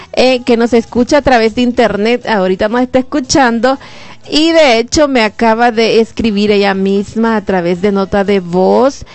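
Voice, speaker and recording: Woman, Salvadoran Spanish accent, radio host, chatty, slightly high voice, faint background music. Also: a little mellow. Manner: friendly, chatty, reads listener messages aloud. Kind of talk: live radio greetings and chat. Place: radio studio.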